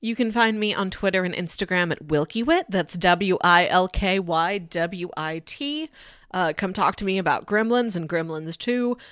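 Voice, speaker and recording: A woman's voice: fairly low pitch, lively intonation, normal speed, a bit bright, smooth, no room sound, studio quality. A woman co-hosting a podcast, chatting casually about comics and films. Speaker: podcast co-host.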